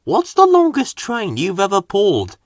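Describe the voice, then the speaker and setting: A man's voice: sits high, huge pitch swings, normal speed, dry recording, clean studio sound, pitched above the performer's own voice. A man voicing a friendly, sociable male engine in a children's storybook, eager and outspoken. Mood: curious.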